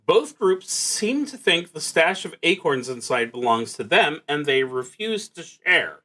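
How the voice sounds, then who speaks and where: A man narrating a solo tabletop game on a livestream, thinking aloud in a casual, halting way with pauses mid-sentence. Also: a little high, sing-song, bright, forceful tone. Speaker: solo tabletop roleplaying streamer.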